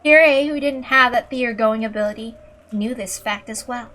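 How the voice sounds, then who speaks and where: A woman's voice: on the high side, normal speed, bright, forceful tone, a little hiss or hum. A woman reading scripted visual novel narration in a dramatic storytelling style. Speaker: narrator, reader. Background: music.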